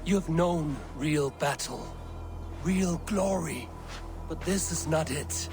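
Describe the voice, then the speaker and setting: An actor playing a resolute, loyal Viking warrior in a video game, speaking in a solemn, formal way. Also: male voice, fairly high pitch, takes it slowly. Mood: urgent, pleading. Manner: solemn, earnest, formal phrasing. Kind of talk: dramatic video game acting. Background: game music.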